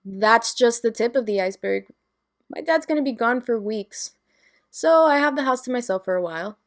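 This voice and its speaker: A woman's voice: fairly high pitch, expressive, normal speed. A woman playing a brash, sarcastic, carefree young party lover in an audio drama, with casual, salty, sardonic delivery. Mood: breezy, playful.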